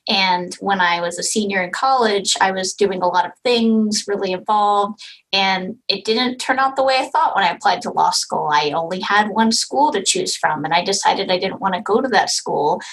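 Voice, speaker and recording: A woman on a panel speaks about her background in law and policy. She tells her story smoothly, in an easy conversational flow. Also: medium pitch, normal speed, fairly bright tone, rough recording. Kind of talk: panel discussion answer.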